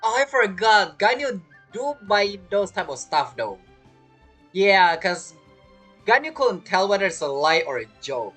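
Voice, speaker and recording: A woman's voice: medium pitch, very animated, a little slow, fairly bright tone, breathy edge, soft background music. A woman streamer giving casual reaction commentary on a game, chatty, laughing often and speaking broken English.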